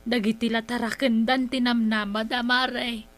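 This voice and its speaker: A woman's voice: on the high side, level tone, normal speed, close to an everyday voice. A woman playing an agreeable, gossipy village friend in a comedy drama, with an Ilocano accent. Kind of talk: comedic barangay radio drama acting.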